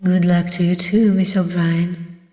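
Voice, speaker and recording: A woman's voice: on the low side, little pitch movement, measured pace, mellow, close to an everyday voice. A woman voicing a tired, reserved male police inspector in a detective game, terse and understated. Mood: polite, tired. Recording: echoey, reverberant room, distant mic.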